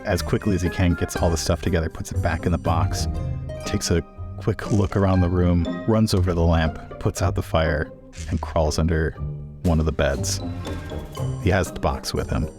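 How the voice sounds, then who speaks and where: A man's voice: low voice, fairly even intonation, normal speed. A man playing a tabletop roleplaying game, casually describing what his character does. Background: faint music.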